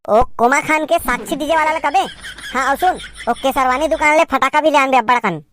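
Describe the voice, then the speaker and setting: A woman as a very high-pitched young village man. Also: fairly quick, a bit bright, a little hiss or hum. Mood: bossy, excited. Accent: Chhattisgarhi. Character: excitable young village man planning a party. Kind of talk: amateur cartoon comedy dialogue.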